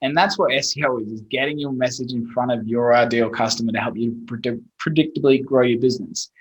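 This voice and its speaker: A man's voice: medium pitch, quick pace, slightly rough, poor audio. A man in his thirties from Australia with an Australian accent, a guest talking bluntly and casually, with mild swearing. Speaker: guest, SEO expert. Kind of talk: casual interview chat.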